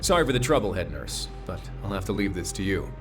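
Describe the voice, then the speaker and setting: A man voicing a courteous, dryly witty duke who runs a prison in a video game, measured and authoritative. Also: deep voice, very animated, music in the background. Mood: businesslike.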